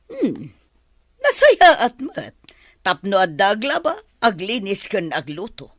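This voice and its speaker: A woman's voice: mid-range voice, wildly swooping pitch, slow pace, slightly rough, dry recording, studio quality, full character voice. A woman with an Ilocano accent playing a stern, scolding older mother-in-law in a radio-style drama. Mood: scornful.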